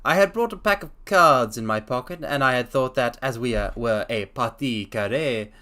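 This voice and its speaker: A man voicing a sharp, commanding Victorian detective, lifting his voice higher and louder than his own, with a stern, crisp delivery. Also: moderate pace, close to an everyday voice.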